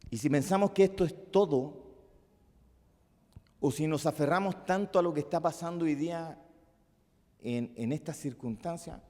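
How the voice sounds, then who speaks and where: Chilean pastor preaching, warm mellow voice, big echoey church hall. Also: male voice, on the high side. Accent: Chilean Spanish. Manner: impassioned, swings from loud emphatic peaks to soft musing asides. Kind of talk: sermon. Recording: long reverb of a church hall.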